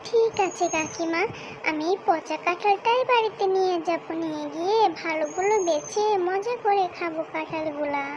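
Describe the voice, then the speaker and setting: A very high, childlike little girl, acted by a woman in a kids' cartoon. She is eager and earnest, pleading as she talks, with faint noise behind her. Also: moderate pace. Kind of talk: children's cartoon story dubbing.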